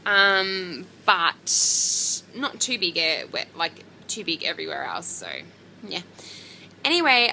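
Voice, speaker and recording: A woman's voice: medium pitch, creaky, bright, forceful tone, slight background noise, poor audio. A woman, chatting casually to camera about her pregnancy in a rambling way with lots of ums and likes. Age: 20s. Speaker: pregnancy vlogger.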